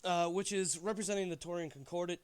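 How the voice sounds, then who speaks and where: A man hosting a game stream in casual chat, sounding stuffy, animated and jokey, often trailing off into asides. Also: high-pitched, fast, clean tone.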